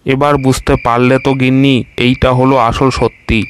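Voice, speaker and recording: A man voicing a lazy, soft-hearted crocodile. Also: medium pitch, little pitch movement, moderate pace, a little hiss or hum, close to an everyday voice. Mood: easygoing. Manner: easygoing and meek. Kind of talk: cartoon voice acting.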